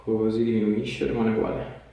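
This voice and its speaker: A man in his thirties working as a chiropractor, giving a patient short, gentle, calm instructions. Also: fairly low pitch, brisk, creaky. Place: small bare treatment room, indoors. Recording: echoey room, distant mic, faint noise.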